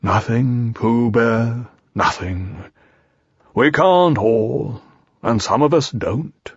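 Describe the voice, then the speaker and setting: A gloomy old grey donkey from a storybook as a man acts him out, dragging out every word very slowly. He sounds resigned and sorry for himself, with a dry sardonic edge and a slightly rough voice. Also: mid-range voice, dry recording, lo-fi sound, heavily acted. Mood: glum, doubtful.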